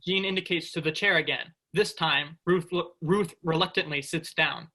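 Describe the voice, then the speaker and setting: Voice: mid-range voice, normal speed, pushed and bright. A narrator reading stage directions from a script for a play.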